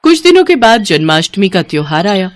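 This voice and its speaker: A woman's voice: medium pitch, sing-song, fairly quick, no echo. A woman narrating a scripted moral story as a storyteller.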